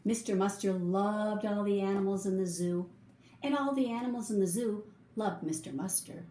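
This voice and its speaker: A woman reading a children's picture book aloud, with expressive, drawn-out emphasis for young listeners. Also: mid-range voice. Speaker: storybook reader. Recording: roomy echo, faint background noise.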